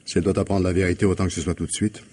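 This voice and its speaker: An implacable police investigator in a vintage French radio mystery. The voice is low and husky, and he speaks with deliberate, articulate authority, giving orders like someone who will not be moved. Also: level tone, mellow. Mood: stern, menacing. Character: stern, implacable male police investigator confronting a suspect.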